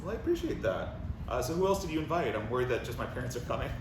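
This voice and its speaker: A man acting an anxious, needy, self-deprecating boyfriend in a halting way at a comic play table read. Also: mid-range voice, big pitch swings. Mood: chatty. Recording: roomy, distant, noisy recording.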